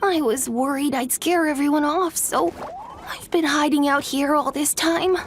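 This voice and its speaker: A woman's voice: sits high, a little slow. A woman voicing a small, innocent boy dragon in a video game, earnest, childlike and a little shy. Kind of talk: video game character acting. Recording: faint background noise.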